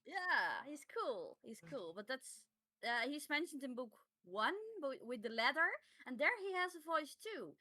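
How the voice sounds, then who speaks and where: A woman's voice: high voice, big pitch swings, unhurried, bright, forceful tone. A native Dutch woman speaking English in a casual discussion as a podcast co-host.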